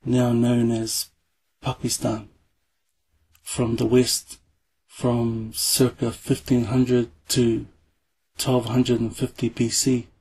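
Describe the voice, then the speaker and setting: A man reads his script slowly and deliberately. His voice is very breathy and husky. He keeps it level, with little rise and fall. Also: mid-range voice, monotone, a little mellow. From Samoa, raised in New Zealand. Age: 40s. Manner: measured, deliberate, spells out terms. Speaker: YouTube presenter. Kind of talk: read-aloud scripted narration.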